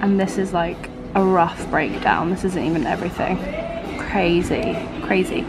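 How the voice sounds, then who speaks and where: A woman's voice: on the low side, expressive, measured pace, background noise. A young woman in her twenties chatting upbeat to a handheld camera, full of fillers, a little bunged up with a cold. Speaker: vlogger. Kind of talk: casual vlog chat to camera. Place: cafés and a city street.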